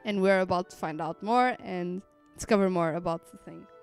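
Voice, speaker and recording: A woman's voice: lowish voice, normal speed, clear, resonant tone, soft background music. A woman game streamer giving casual, playful live commentary with somewhat hesitant phrasing.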